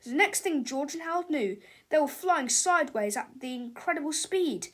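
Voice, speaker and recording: A woman narrating a children's book as an expressive read-aloud. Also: high-pitched, moderate pace. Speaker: storybook narrator.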